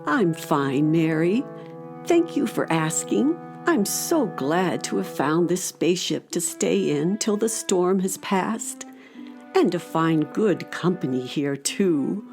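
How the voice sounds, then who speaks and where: A gentle giant star dragon voiced by a woman speaks slowly in a lowish, expressive voice. She is gracious and courteous, in a children's radio drama with faint music behind. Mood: gentle, grateful. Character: huge, gentle, kind feathered female star dragon. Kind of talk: children's radio theater acting.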